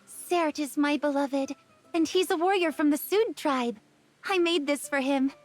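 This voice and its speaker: An actress playing a kind, forthright young shepherdess from a grassland tribe in a romance game. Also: female voice, high-pitched, unhurried, soft background music. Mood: friendly, candid. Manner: bright, forthright, welcoming. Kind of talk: game character dialogue.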